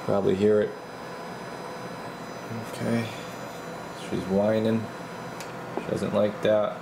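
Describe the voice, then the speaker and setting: A man's voice: on the low side, flat delivery, slow, gravelly, background noise. A man working as a radio repair technician, casually thinking aloud through a hands-on bench demonstration in informal, muttered steps. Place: indoor electronics repair workbench.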